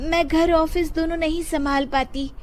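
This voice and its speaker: A woman voicing a meek, polite young daughter-in-law in a storybook tale, speaking softly and deferentially. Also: very high voice, steady tone, moderate pace, a little hiss or hum, pitched above the performer's own voice. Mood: pleading. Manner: soft, pleading, deferential.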